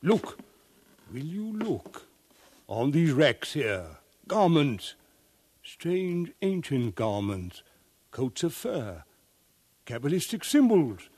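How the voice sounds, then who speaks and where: A man's voice: on the high side, very animated, takes it slowly, pauses often, darkish tone, slightly rough, no room sound, heavily acted. A man acting a pompous, dismissive scholar leading an expedition, declaiming in 1950s radio drama style. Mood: awed.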